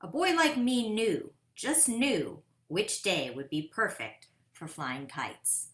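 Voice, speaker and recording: Woman reading as an eager boy storyteller, bright-voiced. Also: mid-range voice, sing-song. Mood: wistful, eager. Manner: dramatic, deliberate storytelling. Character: eager, determined boy kite flyer telling his own tale. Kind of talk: expressive picture-book read-aloud. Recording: roomy, echoing sound.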